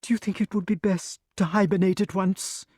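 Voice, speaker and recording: A man voicing a timid, fussy male grass snake who talks in a fluttery, excited way. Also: high-pitched, takes it slowly, no echo, clean studio sound, pitched above the performer's own voice. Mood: fretful.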